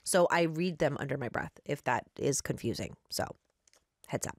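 A woman hosts a music show in a casual, bubbly way and laughs often. Her pitch bounces lively from line to line. The sound is close and dry. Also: moderate pace, no echo. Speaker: radio show host. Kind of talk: casual on-air chat and story reading.

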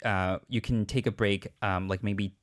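A woman's voice: extremely low pitch, fairly even intonation. A woman in casual studio chat, telling a story with many fillers and restarts. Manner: rambling, halting, many "like" fillers.

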